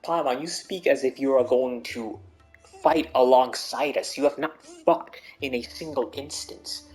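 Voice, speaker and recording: A man's voice: medium pitch, measured pace, a little mellow. A man doing casual game commentary, slangy and teasing, repeating phrases for emphasis as he reacts. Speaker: gaming streamer. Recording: commentary mixed with game music.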